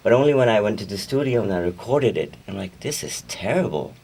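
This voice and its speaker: A man's voice: fairly low pitch, expressive, brisk, vocal fry, a little mellow, slightly rough, far from the mic. A man who speaks Urdu as his first language, chatting as a guest singer-songwriter in a radio interview, rambling, correcting himself and laughing easily.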